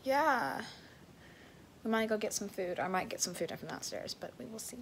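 A teenage girl chatting casually to viewers in a vlog, her voice a bit raspy, filmed on a phone held close in a small bedroom. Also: medium pitch, lively intonation, normal speed, slight background noise, rough recording. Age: late teens. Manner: chatty, rambling, addresses viewers as guys. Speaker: vlogger. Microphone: phone held close at arm's length.

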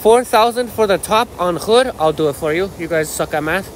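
A man's voice: high-pitched, expressive, normal speed. An American man in his thirties vlogging casually into his phone, with a slangy, profane, boastful way of talking. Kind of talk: casual rambling phone vlog. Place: outdoors on a dirt path by a canal. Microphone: phone held at arm's length. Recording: outdoor ambient noise on phone.

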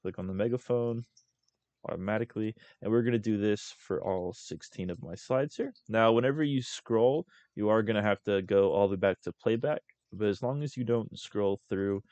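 A young man hosting a casual screen-recorded software tutorial as a voice-over. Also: fairly low pitch, normal speed, no room sound. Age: young adult. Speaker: tutorial host.

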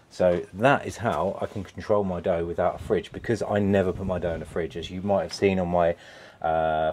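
Deep-voiced British man chatting in van. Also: a little mellow, slight background noise. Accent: British English. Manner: chatty, rambling, lots of ums and restarts. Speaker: pizza van owner. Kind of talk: casual vlog walkthrough. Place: inside a metal food van, indoors. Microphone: lapel mic, camera on a shelf.

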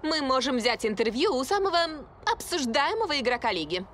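A woman's voice: sits high, sing-song, a little fast, fairly bright tone, a little hiss or hum. A woman voicing a female television commentator in a cartoon. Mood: eager. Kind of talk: animated cartoon dubbing.

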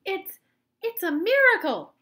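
A woman reading a storybook as an excitable old grandfather, exclaiming in awe. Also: medium pitch, slow. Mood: astonished. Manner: awestruck, exclaiming.

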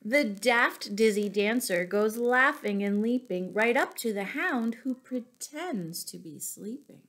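A middle-aged woman reading picture books aloud expressively to young children, pausing to explain words. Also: fairly high pitch, expressive, a bit bright. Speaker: children's storyteller and teacher. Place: small room at home, indoors.

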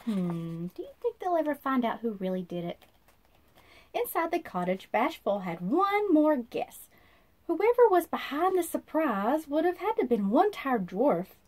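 A woman in her thirties reading a children's storybook aloud in an expressive, sing-song manner, as if to young listeners. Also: high-pitched, measured pace. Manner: sing-song, animated, asks listeners questions. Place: small indoor room.

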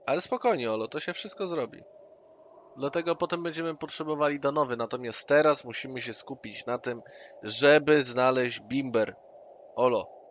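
A man's voice: mid-range voice, moderate pace, muffled recording. A man with a mid-range voice talks his companion round in an online tabletop game. He is coaxing and persuasive and keeps repeating the other man's name. Faint noise sits under the session audio. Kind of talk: in-character roleplay, persuasive schemer.

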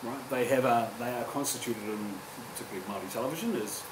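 A New Zealand man in his forties speaking on a public panel, earnest and halting, with frequent restarts. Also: medium pitch. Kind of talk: panel discussion. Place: university lecture room. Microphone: table microphone, distant. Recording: echoey room with background hum.

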